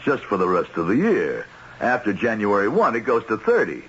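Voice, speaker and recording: Animated, self-assured radio-drama killer. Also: male voice, fairly low pitch, moderate pace, lo-fi sound, a little hiss or hum. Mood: defiant. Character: respectable family man turned cold, self-assured hired killer. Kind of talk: old-time radio drama acting. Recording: band-limited old radio recording with music.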